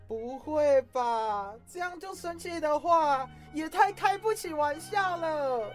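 A woman's voice: high voice, expressive, takes it slowly, soft background music. A woman playing a dismissive, teasing schoolgirl classmate in an audio drama.

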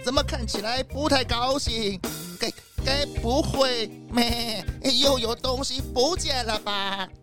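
A woman's voice: fairly high pitch, takes it slowly, over music. A woman voicing a doddering, worried old male goat in a children's audio drama, stammering and bleating as he talks. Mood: curious. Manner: stammering, bleating at phrase ends.

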